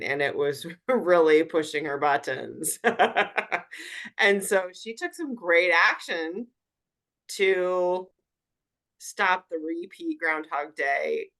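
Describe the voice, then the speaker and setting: A woman's voice: low-pitched, fairly bright tone, clean tone. A woman hosting a coaching group on a video call, affirming each speaker and recapping what they said. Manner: affirming, recaps what others say, lots of mm-hmm. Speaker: host and wellness coach.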